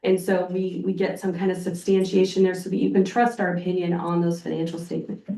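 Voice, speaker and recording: Hoarse woman auditor briefing a board, plain and practical, recorded from afar in an echoey meeting room. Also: fairly low pitch, little pitch movement, fairly quick, dark tone, far from the mic. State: hoarse from a cold. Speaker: external auditor. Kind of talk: presenting a financial report at a public board meeting.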